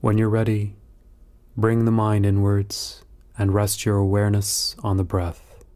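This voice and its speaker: Male meditation narrator: lowish, very soft-edged relaxed tone, near-flat delivery, calm and unhurried phrasing. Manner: calm, measured, soothing instruction with long pauses. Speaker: meditation guide.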